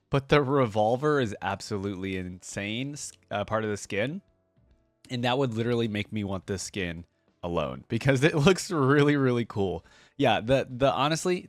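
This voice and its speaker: A man's voice: mid-range voice, dry recording. A man hosting an off-the-cuff reaction video, talking informally and enthusiastically as he thinks aloud. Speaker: reaction video creator and host. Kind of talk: casual off-the-cuff reaction commentary.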